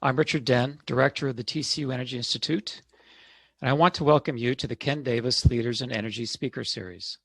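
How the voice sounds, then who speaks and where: Male moderator, gravelly, dry video-call audio, measured formal delivery. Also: mid-range voice, fairly bright tone, no room sound. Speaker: moderator, energy institute director. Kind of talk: hosting a video-call panel.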